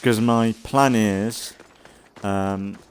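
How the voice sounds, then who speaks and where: A man giving casual, rambling commentary as a let's play host, thinking aloud in a halting way and sounding slightly congested. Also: fairly low pitch, fairly even intonation, slight background noise. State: slightly congested from an oncoming cold.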